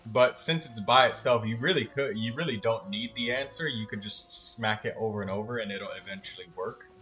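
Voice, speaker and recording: A man's voice: on the low side, fairly quick, pushed and bright, soft background music. A man giving casual, instructive gameplay commentary in colloquial language, as a gaming host helping another player. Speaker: livestream game host.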